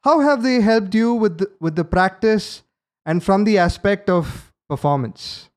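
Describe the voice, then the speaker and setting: A man's voice: high voice, lively intonation, a little slow. A man hosting an online interview, formally introducing his guest and asking prepared questions over a video call. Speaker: interview host.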